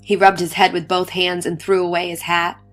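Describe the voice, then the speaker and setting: Female narrator, lower register, dramatic literary storytelling, with soft music in the background. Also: little pitch movement, normal speed. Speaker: story reader. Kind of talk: dramatic reading of early science fiction. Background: faint music.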